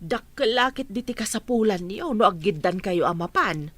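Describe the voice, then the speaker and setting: A woman's voice: mid-range voice, lively intonation, moderate pace, fairly bright tone, dry recording. A woman acting a practical village mother in a radio drama, with an Ilocano Filipino accent. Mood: concerned. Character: practical village mother urging her grown children on.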